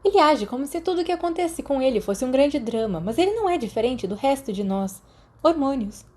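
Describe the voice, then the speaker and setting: A woman's voice: sits high, lively intonation, a little fast, dark tone, pitched above the performer's own voice. Woman acting exasperated Brazilian teenage schoolgirl, emphatically scornful. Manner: decisive, huffy, emphatic.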